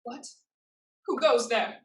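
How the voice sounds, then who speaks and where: A woman's voice: a little high, slow pace. A woman playing a proud, determined young woman, firm and earnest in a theatrical staged reading. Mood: startled, demanding. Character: proud, determined young woman pirate-in-training, devoted to family.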